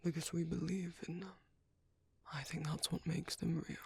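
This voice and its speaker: A man voicing a kindly, caring British vampire priest in a soft ASMR roleplay, breathy and often near a whisper, with a hesitant, politely formal way of talking. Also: on the high side, little pitch movement, moderate pace, no echo, exaggerated voice. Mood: soft, reflective.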